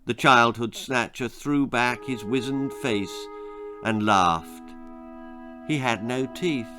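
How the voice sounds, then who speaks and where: A man tells a children's tale at a slow, measured pace. His delivery is dramatic, in a mid-pitched voice, with soft music underneath. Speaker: author reading his own story.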